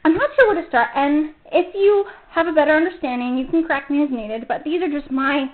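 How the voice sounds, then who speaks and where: A woman's voice: high voice, brisk. A woman hosting a casual solo fan podcast, talking in a gushing, enthusiastic fangirl manner. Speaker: podcast host. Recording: muffled phone-like narrow-band sound.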